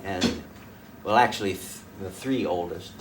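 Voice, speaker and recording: An older man, a folk fiddler, casually telling family stories to a small audience in a rambling, folksy way with many pauses and restarts. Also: deep voice. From rural northwest North Dakota. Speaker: folk fiddler giving a talk. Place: room at a folk fiddlers' gathering. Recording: distant mic, faint room noise.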